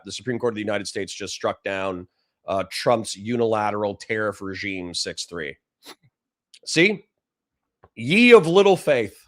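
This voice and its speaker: Dry-voiced man ranting to his stream. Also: medium pitch. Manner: blunt, profane, fast restarts. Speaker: livestreamer reacting to chat. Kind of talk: livestream political commentary.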